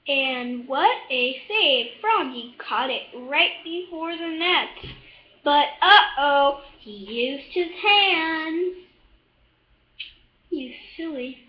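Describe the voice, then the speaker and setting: A child reading a picture book aloud in a halting, expressive way, adding little asides to the listener. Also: sits high. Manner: halting, expressive, with drawn-out words and excited shouts. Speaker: storybook reader. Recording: echoey room, slightly dull sound.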